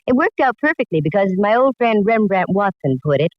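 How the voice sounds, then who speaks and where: A woman's voice: mid-range voice, very animated, a little fast, dry recording, natural voice. An actress as a breezy, wry young woman detective in a 1940s radio mystery. Her talk is slangy and knowing, caught close and dry on a slightly dull vintage recording. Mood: wry, confiding. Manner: slangy, wry first-person storytelling.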